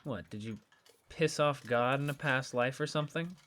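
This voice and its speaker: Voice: medium pitch, normal speed, fairly bright tone, lightly acted. An actor in an audio drama playing a casual, curious young friend who talks in a questioning, sometimes hesitant way. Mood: dry, casual. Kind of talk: naturalistic audio fiction dialogue.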